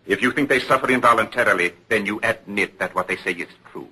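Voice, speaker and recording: A stern courtroom prosecutor with a low, very rough voice. He presses each question formally and relentlessly, in the manner of old radio drama. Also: fast talker, sharp tone, exaggerated voice, rough recording. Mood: accusing, insistent. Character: stern, relentless male prosecutor at a witch trial.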